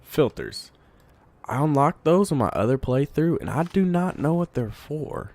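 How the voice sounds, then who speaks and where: A man with a congested voice from a cold, giving relaxed let's play commentary, thinking aloud while he plays. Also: fairly high pitch, a little slow. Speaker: gamer.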